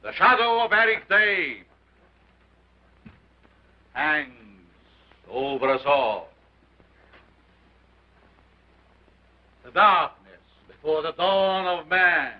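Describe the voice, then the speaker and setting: An actor playing a grandiose sideshow scientist who declaims in a pompous, theatrical way, on a dull old film soundtrack. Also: male voice, a little high, animated, slow pace, pauses often. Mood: commanding. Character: grandiose male carnival scientist, commanding and theatrical.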